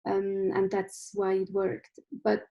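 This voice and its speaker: A woman's voice: medium pitch, completely flat delivery, slow, creaky, dark tone. A woman in her forties from Switzerland, a film director speaking on a video call from a room at home with bookshelves, thoughtful and full of restarts. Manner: thoughtful, with many restarts and fillers. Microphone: laptop webcam video call.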